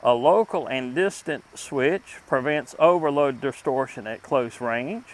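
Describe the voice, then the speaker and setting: A man with a mid-range voice presents a review as host of a vintage electronics channel. He talks informally through what he is demonstrating. His delivery is deliberate and unpolished, with a halting flow. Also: mid-range voice, low-quality recording.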